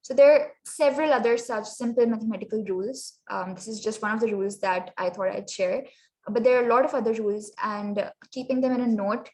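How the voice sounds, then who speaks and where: A woman's voice: on the high side, fairly even intonation, brisk, darkish tone. Pakistani woman presenting a test-prep workshop. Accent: Pakistani English. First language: Sindhi. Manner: many fillers, switches into Urdu mid-sentence.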